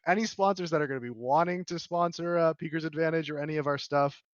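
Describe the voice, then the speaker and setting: A slightly hoarse man hosting a podcast in casual chat, chatty and laughing often. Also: fairly high pitch, fast talker, dry recording, muffled recording. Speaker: podcast host.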